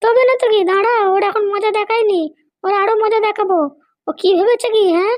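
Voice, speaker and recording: A performer voicing a scheming, haughty woman politician in a cartoon comedy, bossy, scolding and fond of sharp questions. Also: very high-pitched, steady tone, a little fast, dry recording. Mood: spiteful. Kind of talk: amateur cartoon comedy dialogue.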